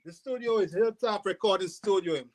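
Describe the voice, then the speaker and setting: A man's voice: high voice, fairly quick. A man from Saint Lucia with a Saint Lucian accent, a rapper talking casually about his music in an interview. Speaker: rapper guest.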